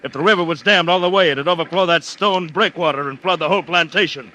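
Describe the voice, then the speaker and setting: Driven, commanding plantation owner, golden-age radio thriller, declamatory delivery, bright hard-edged voice. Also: male voice, on the high side, fast. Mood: urgent resolve. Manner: intense, dramatic, declamatory narration and commands. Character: bold, driven plantation owner battling an ant horde. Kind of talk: 1940s-50s radio drama acting.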